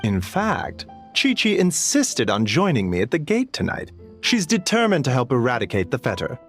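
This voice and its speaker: An actor playing a courteous, composed young male healer with a polite, measured, formal manner in a video game cutscene. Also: mid-range voice, wildly swooping pitch, a bit bright, faint music behind. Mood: calm, informative.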